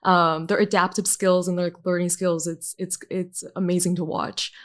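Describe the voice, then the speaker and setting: Animated middle-aged woman telling stories. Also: fairly low pitch, normal speed. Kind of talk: casual chat.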